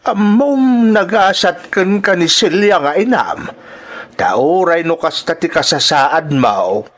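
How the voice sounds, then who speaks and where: Casting: male actor as appreciative older villager, friendly unhurried delivery with lively pitch, audio drama. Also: exaggerated voice. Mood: grateful, kindly. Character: kindly older village man, grateful massage client.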